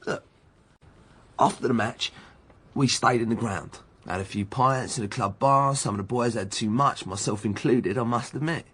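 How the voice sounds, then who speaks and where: Radio drama role: cocky London footballer under police questioning. Slightly husky, slangy, defensive and evasive. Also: male voice, medium pitch, moderate pace, fairly bright tone. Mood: evasive, blustering. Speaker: actor. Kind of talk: naturalistic radio drama acting.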